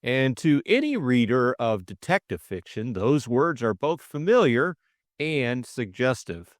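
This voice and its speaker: A male storyteller reads a classic tale with measured expression. His pitch moves widely over a slow pace, in a close, dry recording. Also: big pitch swings, dry recording. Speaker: audiobook narrator. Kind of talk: scripted story narration.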